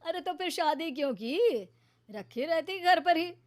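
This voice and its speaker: A woman's voice: extremely high pitch, lively intonation, unhurried, voice pushed up. A woman voicing a boastful, mocking adult son-in-law in a cartoon moral story. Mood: mocking, dismissive. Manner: mocking, self-important.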